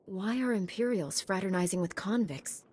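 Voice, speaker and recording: An actress playing a composed, dutiful female knight in a video game, measured and matter-of-fact, with faint background noise. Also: medium pitch, darkish tone, breathy edge, lightly acted. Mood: composed, resolute.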